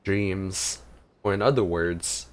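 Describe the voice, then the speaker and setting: Low-pitched man, casual game reactions. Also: slow pace.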